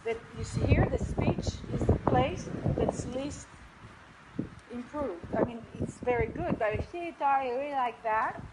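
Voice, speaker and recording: Israeli woman lecturer, high and bright voice, emphatic, repeats key points. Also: unhurried, heavy background noise. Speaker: lecturer presenting to clinicians. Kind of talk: lecture narrating patient videos.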